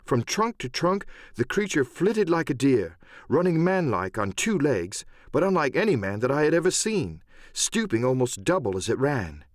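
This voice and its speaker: A man giving dramatic, measured, theatrical narration of an adventure novel. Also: medium pitch, sing-song, no room sound, studio quality. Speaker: audiobook narrator.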